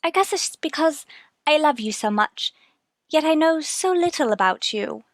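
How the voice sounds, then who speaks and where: Plaintive, high-voiced American wife. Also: female voice, expressive, moderate pace, dry recording, studio quality. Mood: sad, fearful. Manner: earnest, plaintive. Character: anxious, devoted, melancholy young wife. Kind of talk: mid-century radio melodrama acting.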